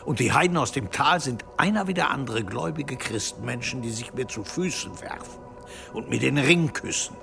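A gravelly, self-important bishop in a radio play, scheming and stately. He speaks pompously in a medium-pitched voice, with music clearly behind him. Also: lively intonation, normal speed, fairly bright tone. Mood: gloating. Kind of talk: German audio drama acting.

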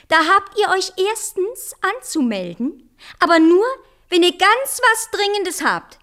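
A woman's voice: extremely high pitch, fairly bright tone, full character voice. A woman playing a vain, bossy and dreamy young queen in a theatrical fairy-tale radio play. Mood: haughty, indignant. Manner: haughty, imperious, dramatic.

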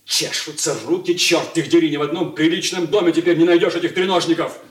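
A man's voice: on the high side, fairly even intonation, quick pace, a little hiss or hum, lightly acted. An actor playing a fussy, irritable grown son who bosses his household with peevish, precise instructions in a Soviet radio play. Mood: irritated. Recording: roomy 1980s radio drama studio sound.

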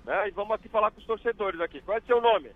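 A man's voice: high-pitched, animated, racing, phone-quality sound. A man talking casually over a live outside link on sports radio. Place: on location at a roadside promotion point.

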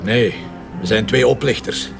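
An actor playing a gruff, sarcastic, impatient Flemish police commissioner in a radio drama, curt and colloquial. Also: male voice, lowish voice, measured pace, over music. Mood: irritated, sarcastic. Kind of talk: naturalistic radio drama acting.

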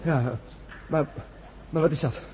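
A man's voice: a little high, takes it slowly, warm tone, slight background noise. An actor playing a sceptical, impatient male astronaut survivor in a science-fiction radio drama, blunt and irritable. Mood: shaken.

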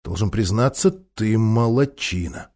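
A man voicing a blunt, excitable schoolboy in a children's fantasy story, exclaiming and grumbling. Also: lowish voice, unhurried. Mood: amazed, admiring. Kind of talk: children's fantasy audiobook character.

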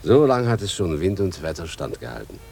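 A man's voice: low voice, very animated, fairly quick, gravelly, a little mellow, faint music behind, exaggerated voice. An actor playing a wistful fifty-year-old farmer and father in a radio play, ruminative, earthy and plain-spoken. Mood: wistful, brooding. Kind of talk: German radio drama acting.

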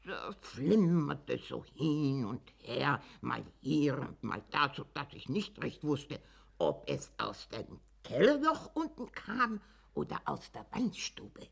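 A woman's voice: a bass voice, big pitch swings, takes it slowly, vocal fry, breathy edge, heavily acted. An actress playing a nosy old village woman in a German radio drama, rambling with suspense and self-importance. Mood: mysterious, rambling. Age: older.

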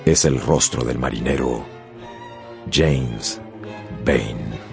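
A man narrating a radio novel in a dramatic, suspenseful style with measured phrasing. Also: extremely low pitch, gravelly, faint music behind. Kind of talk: dramatic scripted audiobook narration.

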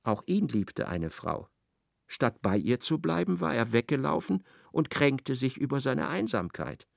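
Ein Mann als Erzähler eines literarischen Hörspiels. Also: male voice, medium pitch, very animated, measured pace, vocal fry, no echo, clean studio sound, heavily acted. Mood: measured narration. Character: expressive male narrator of a literary radio play. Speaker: narrator. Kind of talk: literary radio play narration.

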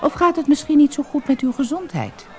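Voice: high voice, sing-song, brisk, dark tone, pitched above the performer's own voice. A storybook narrator voicing a kind, generous male merchant who is polite, curious and solicitous. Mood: concerned, friendly. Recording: noisy old cassette audiobook transfer.

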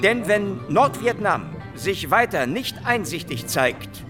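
An actor playing a hard-line American Marine major, declaiming a speech in a radio drama. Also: male voice, high-pitched, sing-song, takes it slowly, full character voice. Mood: hawkish, resolute. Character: hawkish male US Marine major giving a speech. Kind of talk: radio drama public address. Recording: music behind the voice.

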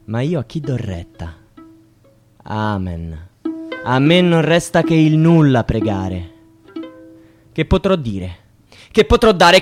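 An actor playing a bitter, defiant young student in a poetic radio theatre monologue, delivered in halting, declamatory phrases. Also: male voice, fairly high pitch, fairly bright tone. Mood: despairing. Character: anguished young student, bitter and defiant about precarious work. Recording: music under the voice. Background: music.

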